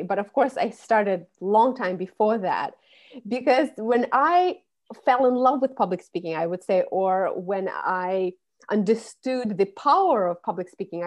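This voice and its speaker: A woman's voice: mid-range voice, darkish tone. Woman. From Russia. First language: Russian. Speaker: public speaking coach. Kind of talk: podcast interview.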